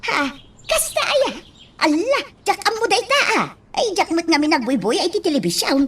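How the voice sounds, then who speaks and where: Very high-pitched excitable woman. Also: normal speed. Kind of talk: amateur radio drama acting.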